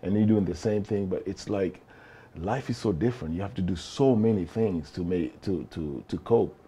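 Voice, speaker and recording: Deep, mellow middle-aged man from Kenya. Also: normal speed, husky. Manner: relaxed storytelling with frequent restarts and chuckles. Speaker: actor, interview guest. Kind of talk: casual interview chat.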